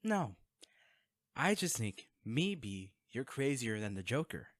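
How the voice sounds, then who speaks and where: A dry, intimate recording carries a man acting as a wealthy, secretive vigilante in an audio drama. He is medium-pitched and very animated, moving between sarcasm and curt menace. He speaks straight at the listener, guarded and threatening. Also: slow pace, dry recording. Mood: tense, then stern.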